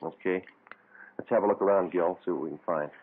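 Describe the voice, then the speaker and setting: An actor playing a terse, matter-of-fact American police sergeant with clipped delivery in an old-time radio drama. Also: male voice, low-pitched, a little fast, breathy edge. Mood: businesslike. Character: terse, businesslike male police sergeant who also narrates. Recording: muffled tinny vintage radio.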